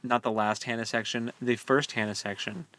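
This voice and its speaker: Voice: medium pitch, steady tone, normal speed, fairly bright tone. A playful, teasing co-host of a book podcast, chatting casually. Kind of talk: casual book-club chat.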